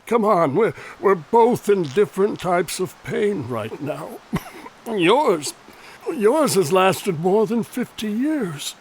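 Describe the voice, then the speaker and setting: Old man in his seventies, radio drama, dying, high-pitched, slow, halting, pleading, goading. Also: big pitch swings, a little hiss or hum, exaggerated voice, low-quality recording. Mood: pained, desperate. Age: 70s. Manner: halting, pleading, chuckling through his words.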